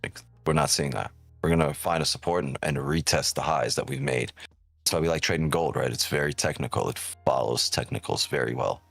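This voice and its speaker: A man's voice: rumbling low, a little fast, vocal fry, a bit bright, husky, dry recording, faint music behind. A man born in Ukraine hosts a live trading stream, chatting casually and with profanity as he reads chat aloud, often tagging on "right?".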